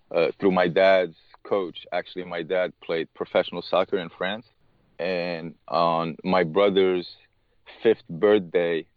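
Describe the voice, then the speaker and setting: A man, a tennis coach being interviewed, speaking haltingly and often restarting his words. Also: deep voice, no echo, lo-fi sound. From Morocco. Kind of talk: casual interview talk.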